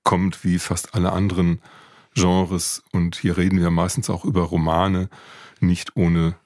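A man speaking as an expert guest, explaining things in a measured, academic way. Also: deep voice, flat delivery, a little mellow, no echo, studio quality. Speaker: expert guest, literature professor. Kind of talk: explanatory interview talk.

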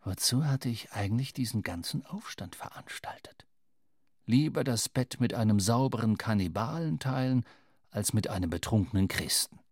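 A man's voice: on the low side, dry recording, studio quality. An actor playing a wry young sailor who narrates his adventures expressively in a literary audio drama. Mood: measured, wry. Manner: measured, expressive storytelling.

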